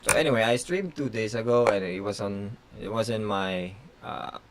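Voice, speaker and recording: A chess grandmaster whose first language is Tagalog, streaming blitz games and thinking aloud in short, halting phrases full of fillers. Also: male voice, fairly low pitch, background noise. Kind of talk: casual live-stream commentary.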